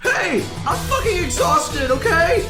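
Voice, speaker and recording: A man's voice: very high-pitched, very animated, a little slow, heavily acted. A man voicing an anxious, whiny, sweary teenage boy in a cartoon sci-fi audio drama. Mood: exasperated. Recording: roomy voice under loud music.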